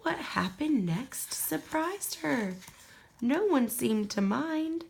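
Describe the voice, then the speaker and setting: A woman reading a children's picture book aloud in an expressive, deliberate storytelling manner. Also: sits high, gravelly, low-quality recording. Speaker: storybook reader.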